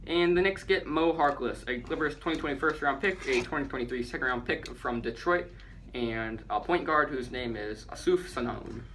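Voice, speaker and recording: Bright-voiced man in casual sports chat. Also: medium pitch, normal speed, a little hiss or hum. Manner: chatty, jokey, reads out trades and counts down. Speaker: podcast co-host.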